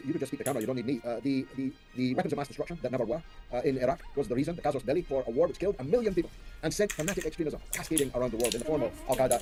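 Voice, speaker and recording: A man's voice: a little high, mellow. An older man giving political commentary as an interview guest, speaking emphatically with rhetorical lists and questions.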